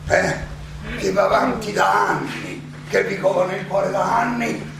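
In a very echoey, distant space an old philosopher on trial, his voice high and gravelly. He is defiant and sardonic, arguing in rhetorical questions with theatrical pauses, as in a stage play. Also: noisy background, full character voice. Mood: grave, resolute. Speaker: stage actor. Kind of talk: theatrical courtroom monologue.

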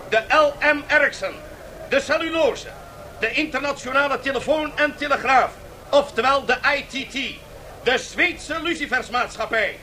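A male actor playing a brash, mocking auctioneer in a radio play, with loud, theatrical market-barker patter. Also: very high-pitched. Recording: faintly noisy 1970s radio drama tape.

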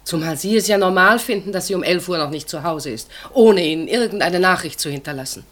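A woman's voice: on the low side, brisk, a bit bright, slight background noise, close to an everyday voice. An actress playing a dutiful, self-sacrificing unmarried woman in her thirties in a German radio play, speaking politely and with restraint. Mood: hurt, firm. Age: 30s. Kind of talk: German radio play acting, intimate naturalistic dialogue.

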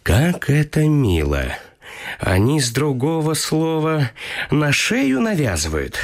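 An actor playing a polite but sardonic gentleman suitor in a radio vaudeville, mannered and courteous, with ironic asides. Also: fairly high pitch, huge pitch swings, slow pace, exaggerated voice. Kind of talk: Russian radio play of a vaudeville comedy.

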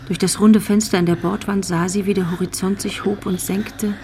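A woman's voice: mid-range voice, level tone, moderate pace, darkish tone, slight background noise. A woman giving scripted literary narration as the narrator of a radio drama. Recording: music bed under the voice. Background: music.

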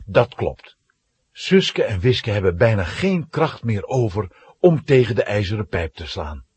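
A man's voice: fairly low pitch, sing-song, a little slow, a little mellow, a little hiss or hum. A man narrating a scripted children's audio play in a dramatic storytelling manner.

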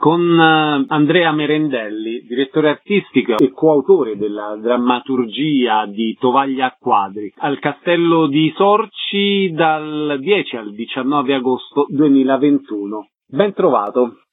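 A man's voice: mid-range voice, expressive, a little slow, few pauses, no room sound, faint music behind. A man hosting a radio interview, introducing guests and putting questions to them. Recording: narrow phone-like radio sound.

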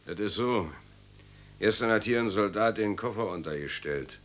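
An actor in a radio drama playing a gruff, wary ordinary German householder in a naturalistic way. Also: male voice, lowish voice, expressive, normal speed, close to an everyday voice. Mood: wary, grumbling.

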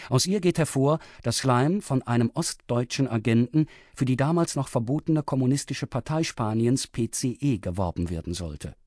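A man's voice: medium pitch, darkish tone, no room sound, studio quality. A man reading a scripted, factual recap for a radio crime drama. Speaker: narrator. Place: dry broadcast studio.

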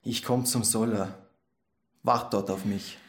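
A man's voice: fairly low pitch, steady tone, slow. An actor playing a reserved, evasive man in a radio play, speaking tersely and matter-of-factly to a young woman. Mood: curt, evasive.

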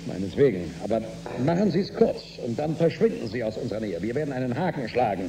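A weary, gruffly defiant spaceship commander in a radio play. He gives curt orders at a middling pitch, with clear noise and hum behind him. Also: sing-song, fast talker. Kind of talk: radio drama acting.

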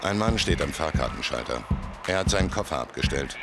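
A very low male voice as a hard, laconic gangster in a dark radio play. He narrates his own memories in clipped fragments, grim and sparing, with music behind him. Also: expressive, brisk. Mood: grim, detached. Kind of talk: dark first-person radio play monologue.

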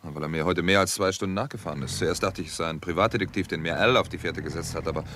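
An actor playing an earnest doctor turned sleuth in a 1960s German radio crime drama, measured and matter-of-fact. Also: male voice, low voice, bright, forceful tone, a little hiss or hum. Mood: concerned, earnest. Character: earnest American doctor and amateur sleuth, narrating his case.

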